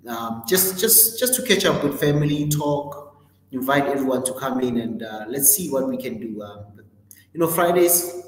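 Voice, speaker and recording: A man's voice: mid-range voice, expressive, moderate pace. A man hosting a livestream, running through the week's schedule casually with lots of ums and self-corrections. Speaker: host. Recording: roomy echo with long reverb.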